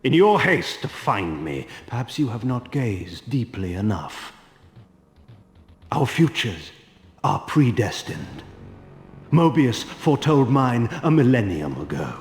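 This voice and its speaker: An actor playing an ancient, arrogant vampire lord in a video game, speaking in a theatrical, deliberate, cynical way. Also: male voice, medium pitch, very animated. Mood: solemn, lofty. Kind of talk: dramatic video game acting. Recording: echoey hall reverb over music.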